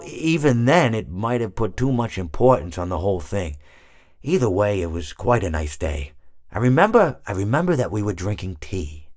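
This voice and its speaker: Game role: aged hippopotamus animatronic. Meandering, hesitant, mild-mannered storyteller, very animated intonation, recorded close and dry. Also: male voice, deep voice, dry recording. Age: older. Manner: rambling, hesitant, absent-minded, self-correcting. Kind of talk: character monologue for a video game.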